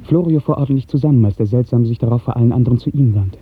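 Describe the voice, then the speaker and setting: A man narrating a literary radio drama in 1950s German style, with measured, lyrical storytelling. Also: medium pitch, soft background music. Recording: muffled, narrow-band 1950s radio recording.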